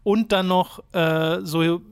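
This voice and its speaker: A man's voice: sits high, steady tone, slow pace, clear, resonant tone. A young man chatting casually as a podcast co-host, explaining at length with frequent hesitation fillers. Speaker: podcast co-host reviewing games. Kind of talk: casual chat.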